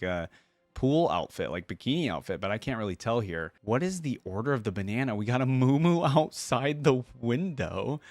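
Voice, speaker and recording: A man giving casual reaction commentary as a video host, talking informally and thinking out loud. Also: mid-range voice, no echo.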